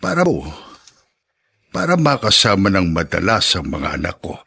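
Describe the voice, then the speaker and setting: Gravelly low-voiced older Filipino father. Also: huge pitch swings, moderate pace, pushed and bright, exaggerated voice. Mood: apologetic, pleading. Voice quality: gravelly, husky. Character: ageing Filipino father, apologetic, lonely for his children. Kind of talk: radio drama acting.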